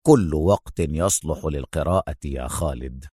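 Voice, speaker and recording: A man voicing a stern, stubborn military officer hero in a story, speaking in a firm, measured, wry way. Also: a bass voice, mellow, breathy edge, no echo, clean studio sound, pitched below the performer's own voice. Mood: calm, wry.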